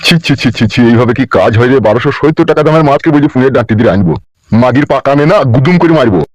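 Man acting a rapid-fire, blustering Bengali village husband. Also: medium pitch, expressive, natural voice. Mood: scolding, boastful. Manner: blustering, scolding, crude. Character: hot-tempered, boastful, foul-mouthed village husband and father. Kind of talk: comic cartoon dialogue. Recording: noisy amateur voice track with clicks.

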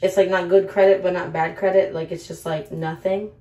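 A woman's voice: on the low side, little pitch movement, a little fast. A woman in her twenties, a little tipsy, rambling to camera in a casual vlog and giving an earnest pep talk full of fillers. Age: 20s. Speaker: vlogger. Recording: loud background noise.

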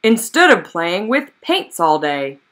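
A woman's voice: lowish voice, big pitch swings, slow pace, fairly bright tone, heavily acted. A woman voicing stern, scornful townsmen in a storybook.

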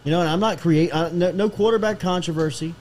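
A man's voice: high-pitched, fast talker, a little mellow, faint music behind. A man hosting a casual football chat, informal and often checking that others follow him. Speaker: sports show host.

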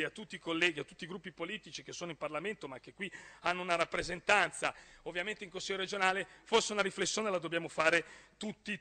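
A man in his thirties with a northern Italian accent addresses a council, speaking into a desk microphone and gesturing as he pushes his points. His voice is very bright and cutting, a little higher than most men's. The chamber adds a long, ringing reverb. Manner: forceful, rising to emphatic outbursts, gestures while speaking. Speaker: regional councillor. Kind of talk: parliamentary speech. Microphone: desk gooseneck microphone.

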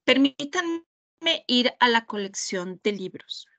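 A woman with a Mexican Spanish accent leading a webinar over a video call, walking through a website step by step in a deliberate, pausing way. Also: fairly high pitch, animated, fairly bright tone. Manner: slow, deliberate, pausing often. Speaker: webinar trainer. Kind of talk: screen-share product demonstration.

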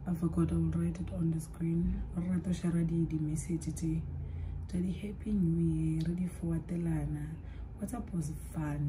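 A young South African woman with a low, mellow voice rambles casually to her viewers while doing her makeup, mixing languages as she talks. A faint hum of room ambience sits behind her. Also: low-quality recording. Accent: South African, mixing in Sepedi. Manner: chatty and rambling, switching languages mid-sentence, trailing off into soft asides. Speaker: vlogger.

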